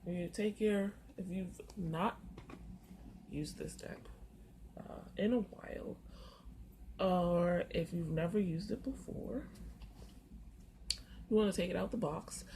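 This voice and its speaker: Slow, husky-throated woman with a mellow voice. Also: fairly low pitch. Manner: unhurried, halting, with many filler pauses. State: sore throat, voice a bit off. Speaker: tarot and oracle card content creator. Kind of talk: casual instructional walkthrough. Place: first-floor apartment on a busy street. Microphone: camera. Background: faint street noise.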